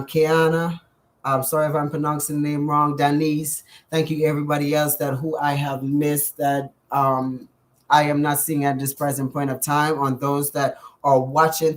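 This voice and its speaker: Bahamian guy chatting to his viewers, pretty flat pitch, lots of thank yous and ums. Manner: effusive, repeats thanks and shout-outs, filler ums. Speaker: vlogger. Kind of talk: casual direct-to-camera vlog.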